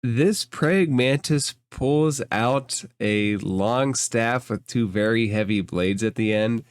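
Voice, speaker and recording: A man narrating a tabletop role-playing game as game master, measured and pausing between phrases. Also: mid-range voice, clear, resonant tone, no echo.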